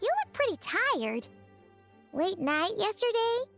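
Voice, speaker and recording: A woman voicing a tiny, excitable, childlike fairy-like companion girl with a squeaky voice in an anime game. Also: slow pace, vocal fry, soft background music, exaggerated voice. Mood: concerned.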